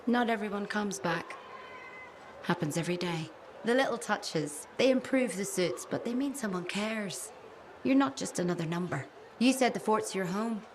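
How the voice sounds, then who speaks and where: A woman's voice: mid-range voice, animated, normal speed, slight background noise, close to an everyday voice. An actress playing a caring woman mechanic with a dry sense of humor in a video game. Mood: tender. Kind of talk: video game cutscene acting.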